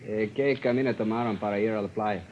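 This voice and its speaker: An actor playing a patient bilingual police officer who interprets in a matter-of-fact, measured manner in a 1950s radio drama. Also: male voice, on the low side, fairly even intonation, a little mellow, slight background noise, close to an everyday voice. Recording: dull vintage 1950s radio recording.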